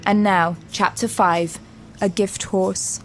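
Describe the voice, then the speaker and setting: A woman giving formal, measured scripted narration for a sci-fi audio drama. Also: medium pitch, fairly even intonation. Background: music.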